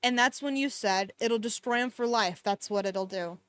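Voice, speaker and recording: A woman reading the lines of an anxious young heroine in a soft, shaky way. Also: fairly high pitch, moderate pace, no echo, pitched below the performer's own voice, close to an everyday voice. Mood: shaken.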